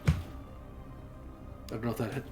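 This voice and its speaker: A man's voice: moderate pace, over music. A man playing a tabletop game, speaking practically and asking rules questions. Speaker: player. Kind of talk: casual tabletop play.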